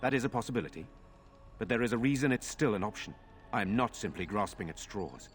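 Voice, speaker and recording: An actor playing a grave, resolute nobleman in a fantasy video game, speaking formally and with measured words. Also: on the low side, lively intonation, faint music behind, close to an everyday voice. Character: grave, resolute nobleman defending his brother's castle.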